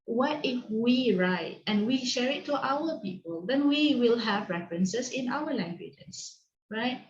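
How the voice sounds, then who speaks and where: A woman's voice: fairly high pitch, animated, a little slow, echoey room, lo-fi sound. Reflective Malaysian woman hosting a call. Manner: reflective, hesitant with many fillers. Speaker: host. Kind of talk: online panel discussion. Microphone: video call.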